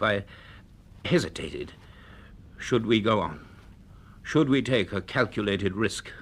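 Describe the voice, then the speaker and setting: An actor playing an intense, authoritative university scientist in a radio drama, speaking with grave, deliberate urgency. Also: male voice, fairly low pitch, a bit bright. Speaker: narrator and lead actor. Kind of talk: radio drama acting and narration.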